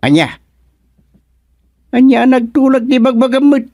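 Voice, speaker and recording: Man as a jovial village man, Ilocano accent, animated and unhurried, dry studio sound. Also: extremely high pitch, no echo, exaggerated voice. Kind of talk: Ilocano radio drama acting.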